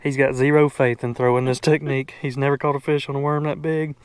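A man's voice: medium pitch, flat delivery, fast, clean tone, no echo. A man chatting casually to camera as a folksy fishing vlog host, out on a small boat. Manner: folksy, addresses viewers as folks. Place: outdoors on a small boat on a lake. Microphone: action camera worn on or near the body. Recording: outdoor noise on action camera.

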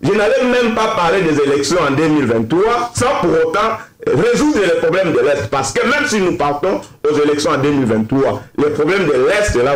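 A Congolese man makes his case on a televised panel. His voice is fairly high for a man, with strong room echo on it. He is earnest and deliberate, building his argument with rhetorical questions. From Democratic Republic of the Congo. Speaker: political debate panelist. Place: TV studio round table. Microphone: desk microphone. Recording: echoey studio sound.

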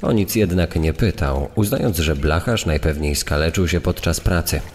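Man, low voice, scripted crime narration, reverberant hall sound. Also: moderate pace, a little hiss or hum. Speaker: reader of newspaper report.

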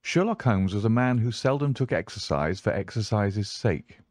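A man reading literary narration as an audiobook narrator, in a measured, formal manner. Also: on the low side, expressive, a little mellow, no echo.

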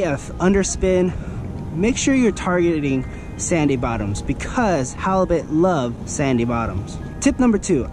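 A man in his thirties, presenting an instructional vlog in a casual, step-by-step manner. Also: fairly high pitch. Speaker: fishing vlogger presenting a tutorial. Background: background music.

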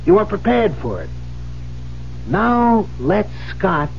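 A man's voice: high-pitched, very animated, slow pace, gravelly, exaggerated voice. Pushy male friend, urgent, persuasive, radio drama, muffled hissy broadcast. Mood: coaxing. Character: insistent, pushy male friend coaxing a widow. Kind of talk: 1970s radio drama acting. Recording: muffled, faintly noisy old radio broadcast.

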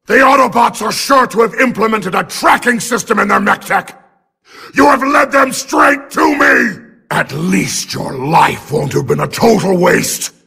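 An actor playing a ruthless, imperious giant robot warlord in a video game, with a gravelly snarl and a menacing, grandiose manner. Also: male voice, high-pitched, very animated, measured pace, sharp tone, raised voice, heavily acted. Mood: enraged. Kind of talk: video game villain acting.

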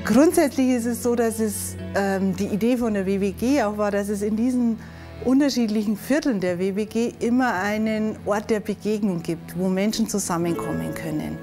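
A woman's voice: mid-range voice, moderate pace, warm tone, soft background music. A woman in her forties explaining her work in an interview, recorded on a lapel mic in a community room. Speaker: social worker at a neighbourhood center.

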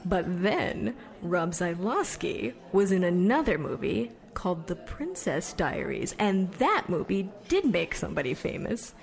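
A woman's voice: lowish voice, very animated, a little slow, creaky, few pauses, heavily acted. A woman playing a chatty, proud young repair worker telling a rambling, conversational story in an audio drama monologue. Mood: chatty, wry.

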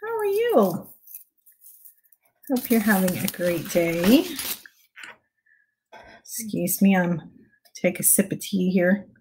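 A middle-aged woman with a Texan accent and a raspy voice, casually greeting viewers on a home livestream, often clearing her throat. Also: mid-range voice, slow, long pauses, rough recording. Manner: relaxed, clears throat often, chuckles softly. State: raspy voice from little sleep. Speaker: prayer livestream host. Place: home study with bookshelves. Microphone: clip-on lapel mic, webcam.

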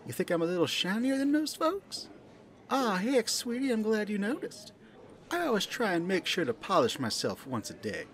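Folksy, lively pink elephant barmaid, played by an amateur actor. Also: mid-range voice, normal speed, natural voice. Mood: flirty, playful. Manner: folksy, coaxing, calls listener sweetie and dear. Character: voluptuous pink balloon elephant barmaid, bubbly, motherly, flirty. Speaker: soda bar server in an audio play. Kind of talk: amateur audio play, second-person roleplay monologue.

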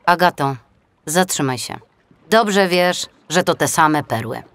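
A woman's voice: low-pitched, expressive, slow pace, fairly bright tone, no room sound, heavily acted. A woman playing a sensible young female seal in an audiobook, speaking in an earnest, insistent way. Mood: reproachful. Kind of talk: audiobook character acting.

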